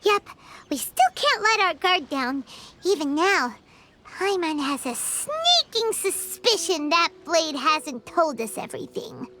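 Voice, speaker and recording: A woman's voice: very high-pitched, sing-song, takes it slowly, background noise, exaggerated voice. A woman voicing a tiny, childlike fairy companion in a video game, chirpy and nosy, speaking of herself in the third person. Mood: wary, musing. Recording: music behind. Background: game music.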